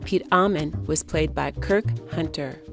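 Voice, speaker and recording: A woman announcer with a low voice delivers scripted narration. Music plays clearly behind her as she reads. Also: a little slow. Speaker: announcer reading credits.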